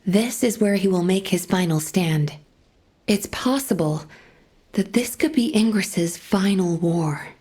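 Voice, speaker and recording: Military officer, medium pitch, composed, serious, formal, earnest, game cutscene dialogue. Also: female voice, unhurried, mellow, breathy edge.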